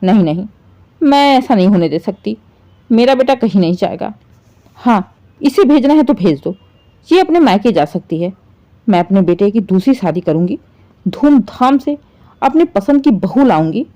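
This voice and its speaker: A woman's voice: animated, moderate pace, long pauses, dark tone, natural voice. A woman voicing a bitter, possessive middle-aged mother-in-law in a dramatic story, scolding and complaining. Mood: indignant. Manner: shrill indignant complaining, sarcastic.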